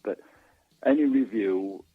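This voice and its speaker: A man being interviewed as a guest author and historian, speaking casually and haltingly, often repeating words mid-sentence. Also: lowish voice, slightly rough. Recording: muffled, narrow phone-line sound with echo.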